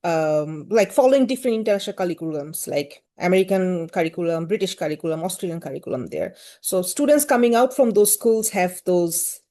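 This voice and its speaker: A woman's voice: fairly low pitch, lively intonation, fairly quick, few pauses, warm tone. A woman with a Bangladeshi accent answering interview questions thoughtfully, with frequent fillers and hedges. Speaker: guest, gender-rights activist.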